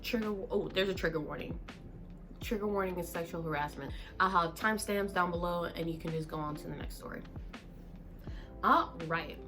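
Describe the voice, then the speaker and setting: A woman's voice: fairly low pitch, normal speed, over music. A young Southern woman with a stuffed-up, congested voice, chatting casually to her viewers with lots of ums and y'alls. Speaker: YouTuber reading Reddit stories. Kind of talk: casual vlog chat. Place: home room, indoors.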